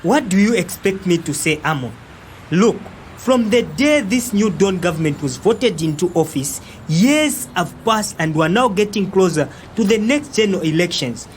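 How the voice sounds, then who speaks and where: Man acting indignant young zambian guy, argues hard, very lively pitch, noisy audio drama recording. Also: moderate pace. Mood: frustrated. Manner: argumentative, emphatic, frequent interjections.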